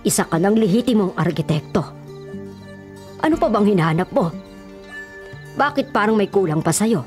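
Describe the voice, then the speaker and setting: A woman's voice: mid-range voice, expressive, normal speed, darkish tone, natural voice. A woman playing a stern middle-aged mother, reproachful and admonishing in a radio melodrama. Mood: stern, concerned. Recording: dramatic music under the voice.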